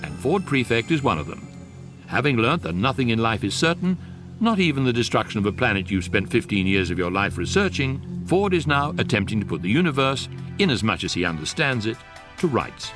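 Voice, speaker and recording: An actor playing a wry, learned guidebook narrator in a radio drama, measured and deadpan. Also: male voice, mid-range voice, over music, lightly acted.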